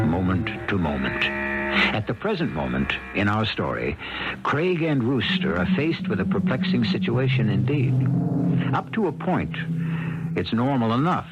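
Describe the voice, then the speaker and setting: A man narrating a radio mystery as host in a measured, portentous, theatrical manner. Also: on the low side, very animated. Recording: muffled old radio broadcast. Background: prominent music.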